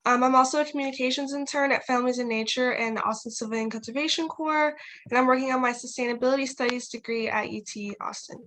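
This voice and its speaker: A woman's voice: high voice, steady tone, fast talker, a bit bright, a little hiss or hum. A nineteen-year-old woman chairing a youth group's video call, chatty and full of fillers. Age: young adult. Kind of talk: casual online meeting talk.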